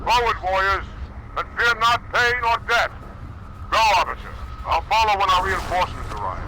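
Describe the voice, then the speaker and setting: A man's voice: sits high, animated, moderate pace, bright, forceful tone, slight background noise, exaggerated voice, rough recording. A man playing a zealous, fearless alien warrior in a video game, calling out rallying orders to troops. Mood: rallying, fierce. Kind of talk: video game battle acting.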